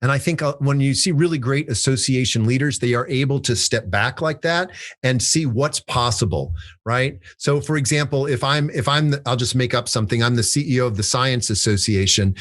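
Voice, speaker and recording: A man's voice: medium pitch, fairly quick, a bit bright, no echo, studio quality. A man co-hosting a casual podcast, talking through ideas in a thoughtful way and using made-up examples. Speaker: podcast co-host.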